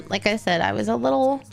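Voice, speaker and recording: Congested, gravelly woman streamer chatting. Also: on the high side. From Texas. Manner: rambling, self-correcting, chatty. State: congested, nasal. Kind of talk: casual chat with live chat.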